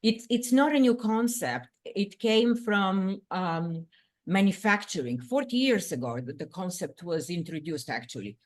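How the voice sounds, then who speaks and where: A woman from Bulgaria answering interview questions as a scientist, talking in a lively way with frequent "um" fillers. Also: fairly low pitch, measured pace. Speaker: guest scientist.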